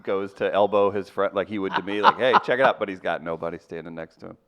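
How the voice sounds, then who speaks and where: A man's voice: low voice, fast talker, creaky, fairly bright tone. A man co-hosting a podcast, tossing sarcastic jabs in casual comedic banter. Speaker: podcast co-host.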